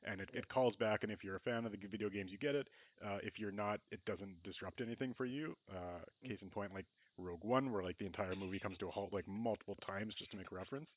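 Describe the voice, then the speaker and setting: A man's voice: on the low side, quick pace, hardly any pauses. A man co-hosting a casual podcast discussion, explaining his points and often leaning on the word "like". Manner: explanatory, frequent "like". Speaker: podcast co-host. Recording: narrow phone-like band, dry.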